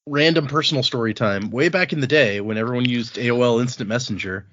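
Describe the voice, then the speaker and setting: A man's voice: mid-range voice, fast, dull audio, background noise. A man from Texas hosting a podcast, chatting casually and reading out listener mail. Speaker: podcast host.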